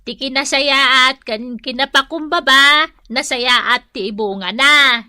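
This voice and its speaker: A woman's voice: high-pitched, slow, pushed and bright, clear, resonant tone, dry recording, studio quality, full character voice. A woman acting a preachy older boss lady in a radio drama, with a Filipino accent.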